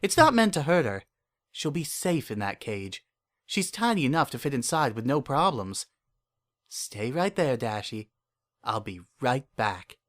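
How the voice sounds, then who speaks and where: Man acting obsessive lonely dreamer, middle pitch, earnest confessional delivery, unsettling intensity, dramatic story narration. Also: sing-song, a little slow, a bit bright. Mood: anxious, protective. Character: lonely, obsessive, delusional man confiding his private devotion. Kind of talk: first-person dramatic story reading.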